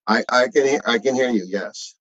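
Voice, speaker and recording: A man's voice: medium pitch, normal speed, fairly bright tone. A man moderating a panel, casually inviting others to speak. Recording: dry but muffled online call audio.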